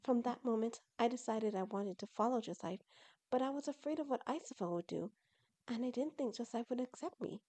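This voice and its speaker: A woman voicing an excitable, earnest young female fox creature in an audio story, eager and emphatic. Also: on the high side, fairly quick, voice pushed up, natural voice.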